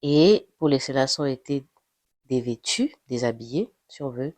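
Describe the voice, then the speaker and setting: A woman's voice: a bass voice, expressive, normal speed, darkish tone, no echo. A woman with a nasal voice from the flu, casually reading and reflecting on a Bible passage, thinking aloud with restarts. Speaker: Bible reader sharing reflections.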